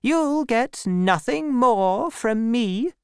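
A reader voicing a bitter elderly rich woman who mocks a boy in a thin, high, teasing voice, in a storybook reading. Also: lively intonation, extremely slow, darkish tone, smooth, no room sound, clean studio sound. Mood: cold, mocking.

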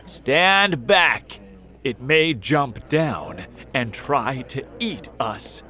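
A man voicing a storybook firefighter chief who cries out in loud, frightened exclamations. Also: medium pitch, expressive, slow pace. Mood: panicked warning. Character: terrified male firefighter chief crying out warnings.

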